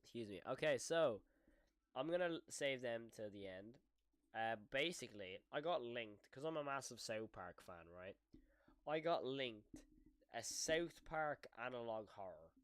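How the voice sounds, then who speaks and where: A man's voice: medium pitch, expressive, unhurried, clear, resonant tone, dry recording, clean studio sound. A man doing casual live reaction commentary as a streamer, rambling and thinking aloud with some mild swearing.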